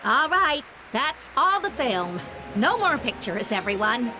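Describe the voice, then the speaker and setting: A firm but good-natured minder in a video game. Her high voice makes lively announcements, with clear music behind. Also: slow, low-quality recording. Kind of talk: video game cutscene acting.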